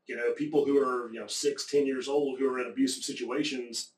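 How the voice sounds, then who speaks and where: A man from Appalachia in the American South talks in loose, rambling conversation, profane and quick to get animated. His voice sits in a middle register. The room is echoey, and he sounds a little far from the mic. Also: mid-range voice. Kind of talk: casual conversation.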